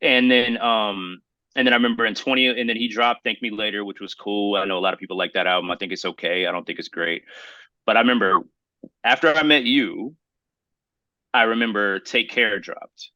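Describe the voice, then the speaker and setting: A man's voice: lowish voice, fairly quick, pushed and bright, dry recording, poor audio. A man in his thirties chatting casually as a podcast co-host, telling rambling, emphatic stories in slangy phrasing. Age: 30s. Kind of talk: casual podcast chat about hip hop.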